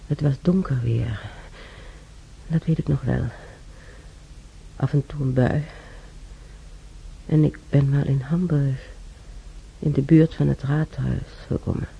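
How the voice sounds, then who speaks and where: An actor playing a weary, ailing German recalling wartime in an intimate radio drama, halting and sighing, often muttering close to a whisper, with a gravelly voice. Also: male voice, mid-range voice, steady tone, highs cut off, exaggerated voice. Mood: musing, weary.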